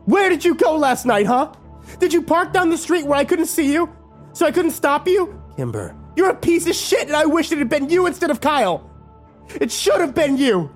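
Male narrator doing a sharp-tongued young woman, high-pitched, furious and defiant. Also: very animated, a little fast, faint music behind. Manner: shouting, swearing, biting.

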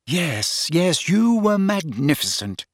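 Man acting teenage schoolgirl, soft, careful, anxious, gently reproving, raised pitch, storybook character. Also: big pitch swings, takes it slowly, no room sound, studio quality, heavily acted. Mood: amused.

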